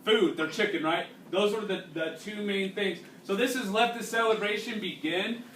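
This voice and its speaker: Bright, higher-pitched man teaching in a roomy space. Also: moderate pace. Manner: instructive, checking understanding with questions. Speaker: teacher reading a storybook to a class. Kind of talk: read-aloud with explanatory asides and questions to pupils. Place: indoors, classroom. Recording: roomy, distant mic, faint background noise.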